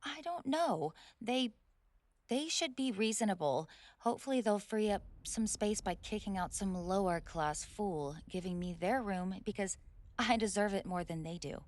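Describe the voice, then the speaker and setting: A woman's voice: mid-range voice, expressive, moderate pace, a little mellow, close to an everyday voice. A woman playing a spoiled rich college bully in a roleplay audio drama, indignant, snobbish and sweary, stammering when flustered. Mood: grudging, snobbish. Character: haughty, spoiled rich college girl bully, hot-tempered tsundere. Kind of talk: scripted roleplay audio drama, one side of a dialogue.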